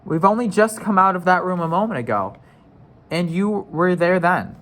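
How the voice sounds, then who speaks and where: A man voicing a sensible, dismissive older sister in a storybook, brisk and scolding. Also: sits high, animated, fairly bright tone.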